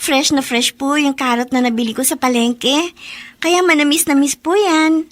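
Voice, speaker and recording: Woman acting a high-voiced, polite young Filipina student. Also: normal speed, close to an everyday voice. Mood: polite, hopeful. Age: young adult. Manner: respectful, deferential. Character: polite, eager young student niece, deferential. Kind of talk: radio drama acting.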